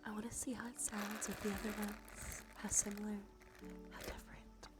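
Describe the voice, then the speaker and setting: A woman streaming ASMR, talking softly and breathily into a close microphone, gently repeating phrases to her live chat. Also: mid-range voice, flat delivery. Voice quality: whispery, breathy. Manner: gentle, slow repetition of phrases. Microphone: close sensitive ASMR microphone. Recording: soft music, crinkling behind. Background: music, crinkling and brushing sounds.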